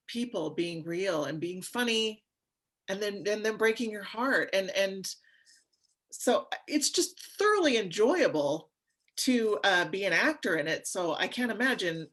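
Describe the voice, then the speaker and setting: Thoughtful woman with a lowish voice. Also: fairly bright tone. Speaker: actor in an audio drama. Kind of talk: casual, thoughtful commentary.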